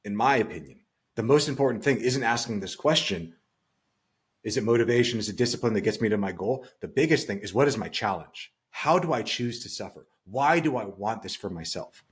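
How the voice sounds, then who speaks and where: A man's voice: lowish voice, animated, fairly quick, husky. A man co-hosting a podcast as a financial coach, talking earnestly and giving his opinions in a reflective, casual way.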